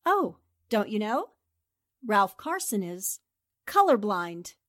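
A woman's voice: mid-range voice, sing-song, slow pace. A woman playing a shrewd, confident newspaper reporter and amateur sleuth, theatrical and assertive in 1940s radio drama style. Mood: confident, triumphant.

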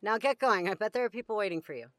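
A woman playing a caring, gently scolding field medic in an audio drama. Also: mid-range voice, animated, very fast, background noise, close to an everyday voice. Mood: warm, teasing.

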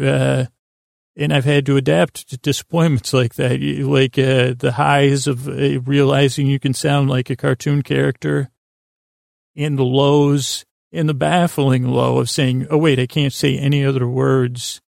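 A man hosting a bedtime podcast in a gravelly voice, rambling in a halting, meandering, self-deprecating way. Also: medium pitch, steady tone, a little mellow, dry recording, studio quality. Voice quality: gravelly, creaky. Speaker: podcast host.

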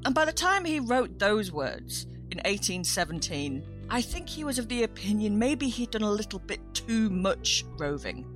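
A woman's voice: mid-range voice, very animated, moderate pace, few pauses, soft background music. Cheeky woman hosting a chatty podcast. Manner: cheeky, exclamatory, self-mocking. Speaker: podcast host.

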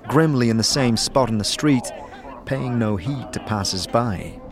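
Slow, expressive male story narrator. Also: medium pitch. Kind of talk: scripted audio drama narration in second person.

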